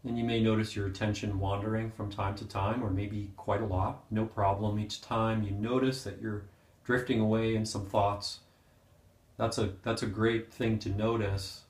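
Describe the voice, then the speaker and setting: A man with a fairly low voice leads a guided meditation in a clearly echoing room. He gives gentle, unhurried instructions and leaves pauses between short phrases. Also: level tone. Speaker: meditation teacher. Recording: roomy, echoing sound.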